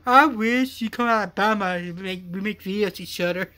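A young adult man chatting casually on a video call, repeating himself in a halting way. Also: high-pitched, pushed and bright. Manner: repetitive, halting delivery. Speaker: amateur vlogger. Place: small room at home. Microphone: phone camera at arm's length.

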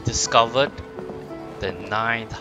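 A man's voice: mid-range voice, steady tone, drawn-out words, muffled recording. A man giving casual, musing game playthrough commentary to viewers. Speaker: gaming streamer. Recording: voice under loud game music. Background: game music.

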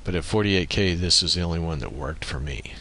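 A man giving an informal software tutorial, explaining methodically and thinking aloud as he works through the steps. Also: deep voice, a little fast. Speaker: tutorial presenter. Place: indoors at a computer, voice-over on screen recording.

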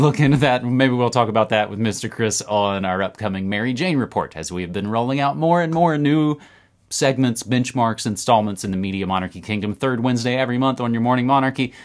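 A man in his thirties hosting a casual news roundup to camera from a room at home, animated and talking with his hands. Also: mid-range voice. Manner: animated, talks with his hands, upbeat asides. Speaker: podcast host. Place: home room with record shelves.

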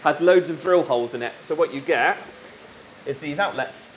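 A man's voice: on the high side, normal speed, a little hiss or hum, rough recording. Young man giving a shop tour. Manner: relaxed, explains with fillers like um and yeah. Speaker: fish shop staff member. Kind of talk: casual walkthrough chat. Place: large indoor aquarium shop.

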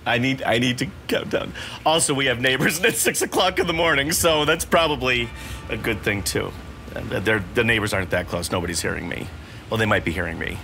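Bright-voiced middle-aged American man gaming. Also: medium pitch, lively intonation, brisk, vocal fry, a little hiss or hum. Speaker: host playing a video game with his son. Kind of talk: casual gameplay chat. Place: home gaming room, indoors.

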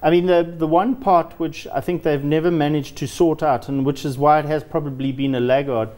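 A man's voice: on the high side, fairly even intonation, fairly quick, a little mellow. A man in his thirties debating as a guest analyst in a TV studio, explaining his case. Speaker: investment analyst, show guest. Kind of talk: unscripted market debate. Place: TV studio, standing.

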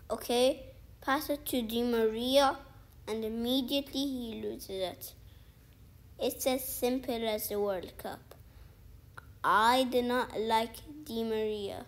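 A young woman casually commentating her mobile football game, rambling and thinking aloud with many "like"s and restarts. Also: on the high side. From outside the featured countries. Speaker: gaming video creator.

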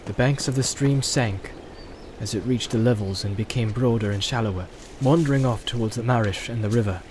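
A man reading a fantasy audiobook aloud, narrating in an even, storytelling delivery. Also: mid-range voice, fairly quick, slight background noise, poor audio. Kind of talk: scripted narration.

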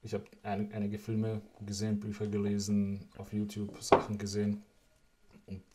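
A man's voice: on the low side, monotone, normal speed, very noisy. A young man interviewing a friend in a casual, reflective, conversational way, seated at a table indoors. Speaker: interviewer, vlog host. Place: small wood-panelled room indoors, at a table.

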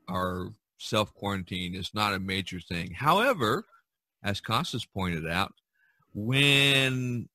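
Male podcast host, deliberate and conversational, crisp bright tone in a dry recording, faint music. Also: fairly low pitch, no room sound.

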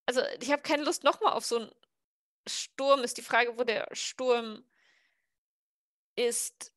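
A young woman chats in character during an online tabletop game. Her voice is high and dry, and her delivery is hesitant, often restarting words. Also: steady tone, measured pace, a bit bright, no echo. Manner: hesitant, stammering. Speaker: tabletop player. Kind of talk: casual in-character roleplay. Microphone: headset on video call.

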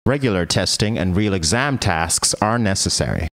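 A man reading a scripted instructional voice-over in a measured way, setting out points one by one. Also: low-pitched, lively intonation, fairly bright tone. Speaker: voice-over narrator.

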